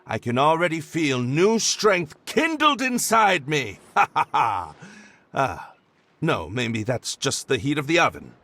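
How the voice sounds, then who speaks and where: Nervous reformed male troublemaker, game cutscene: stammery, eager, highly animated delivery. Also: a little slow, full character voice. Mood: flustered eager. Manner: flustered, stammering. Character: nervous, apologetic, eager-to-please male troublemaker turned helper. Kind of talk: video game cutscene voice acting.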